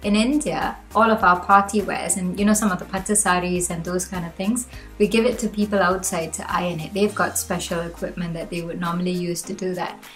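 A young woman from Malaysia, talking to the camera from a room at home. She shares her own reflections in an earnest, chatty way, and her pitch rises now and then. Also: steady tone, brisk, a bit bright, soft background music, poor audio. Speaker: vlogger. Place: home room with bookshelves, indoors.

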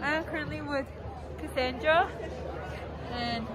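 Giggly high-voiced teen girl vlogging outdoors. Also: unhurried, a crowd in the background, background noise. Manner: laughs constantly, playful teasing. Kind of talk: giggly casual chat. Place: outdoors on a school campus. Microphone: phone camera held low.

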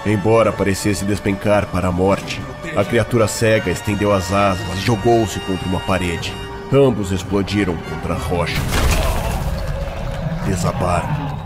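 Deep Brazilian male narrator over loud music. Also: fairly even intonation, normal speed. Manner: solemn, theatrical storytelling. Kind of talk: dramatic scripted fantasy narration. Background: loud dramatic music.

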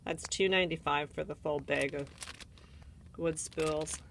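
A woman with a Canadian accent chats her way through an antique mall, naming items and prices. Her voice sits low and she takes her time. It is recorded on a handheld phone, with faint hiss and shop ambience behind her. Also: steady tone, unhurried, creaky. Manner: chatty, listing items and prices. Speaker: shopper filming an antique mall booth. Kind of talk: casual walk-through narration. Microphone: handheld phone camera.

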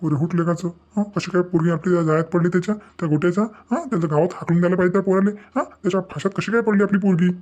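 Fast, scolding Varhadi village man played by a man. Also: high voice, darkish tone, lo-fi sound. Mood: angry, blaming. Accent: Varhadi Marathi. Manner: insistent, scolding, full of rhetorical questions. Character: indignant village man, proud of family honour. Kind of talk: amateur cartoon family drama dialogue.

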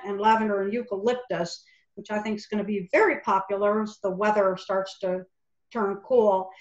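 A middle-aged woman who owns a lavender farm, chatting enthusiastically through a slide presentation. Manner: enthusiastic, chatty product pitch. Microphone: webcam video call. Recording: echoey, muffled video call audio.

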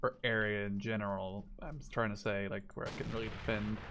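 A man in his twenties from the Netherlands chatting casually as he plays a game, excitable and prone to exclaiming. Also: lowish voice, a little fast. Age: 20s. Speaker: gaming video host and player. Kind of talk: casual gameplay chat and banter. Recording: game gunfire and artillery noise behind. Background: gunfire and artillery from a war game.

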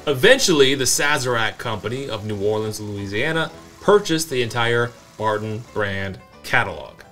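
Deadpan American man narrating over guitar music. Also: mid-range voice, moderate pace. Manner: deadpan, dry humor. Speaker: co-host of a whiskey review show. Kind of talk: semi-scripted comic history narration and banter. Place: small indoor home-style bar set. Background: background music with guitar.

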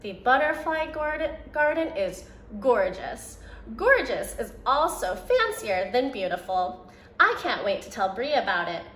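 A young woman reads a children's picture book aloud in a slow, unhurried way, her voice rising and falling a great deal. Her pitch sits a little high, and she gives each quoted line its own expression. The room sounds roomy, with a faint hiss underneath. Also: big pitch swings, a bit bright. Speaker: preschool teacher. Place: indoor room by a brick fireplace.

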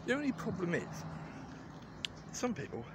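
Wry man with lively, swooping delivery. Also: sits high, moderate pace. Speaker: vlogger. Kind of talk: casual rambling walk-around vlog narration. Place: outdoors in a garden. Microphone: handheld phone at arm's length. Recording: noisy handheld phone recording.

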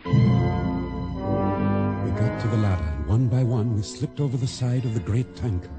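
A male actor plays an earnest sailor from a small island, telling of his ordeal at sea. He speaks in measured, formal sentences with a mid-pitched voice. Prominent music runs beneath this radio drama narration. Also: animated, close to an everyday voice. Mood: grave, reflective. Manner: measured, deliberate, formal phrasing.

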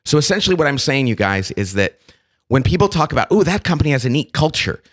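A man hosting a work podcast while he has a cold, talking in a relaxed, self-deprecating, joking way. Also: on the high side, fast. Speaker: podcast host. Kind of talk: casual podcast chat.

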